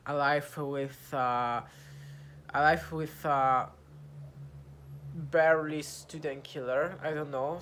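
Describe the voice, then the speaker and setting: A young Italian man talking to camera in English, his second language, with an Italian accent, pausing often with fillers and restarts as he searches for words. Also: medium pitch, a bit bright, slight background noise. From Italy. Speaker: vlogger. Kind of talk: casual talk to camera, practising English.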